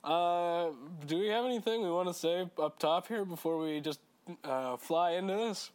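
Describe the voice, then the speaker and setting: A man's voice: sits high, normal speed, clean tone. A young man co-hosting a casual podcast, a little sick and slightly nasal, joking and making puns. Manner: jokey, punning, laughing often. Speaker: podcast co-host.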